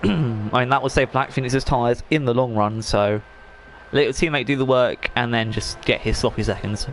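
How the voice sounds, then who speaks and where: A man's voice: mid-range voice, normal speed, slight background noise. A man commentating a sim racing league race in a chatty, rambling way, while he is losing his voice. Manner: chatty, rambling, frequent fillers and restarts.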